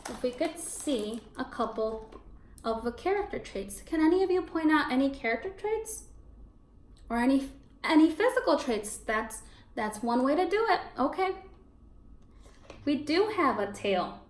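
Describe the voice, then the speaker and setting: High-pitched woman teacher, encouraging, echoey room. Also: a little slow. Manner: encouraging, expressive, repeats and confirms children's answers. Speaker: elementary school teacher. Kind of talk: read-aloud lesson for young children, asking questions. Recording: echoey room with background noise.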